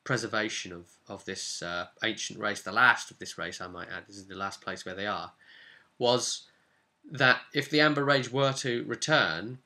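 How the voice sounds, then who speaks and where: A man with a fairly low voice and a bright, punchy edge. He talks as a game master recounting a session, casual and animated. He addresses the listener directly as he explains. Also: moderate pace. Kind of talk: casual storytelling to camera.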